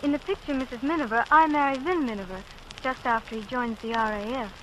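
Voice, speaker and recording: An actress playing a sincere, slightly hesitant young bride in 1940s radio style. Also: female voice, a little high, quick pace, fairly bright tone, lightly acted. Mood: sincere, tender. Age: young adult. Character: charming, sincere young bride, an aristocrat's granddaughter. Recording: faintly noisy 1940s radio recording.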